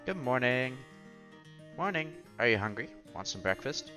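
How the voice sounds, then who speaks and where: A man's voice: mid-range voice, big pitch swings, normal speed. A man streaming a visual novel, reading the text aloud with casual commentary and stumbling over words now and then. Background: game music.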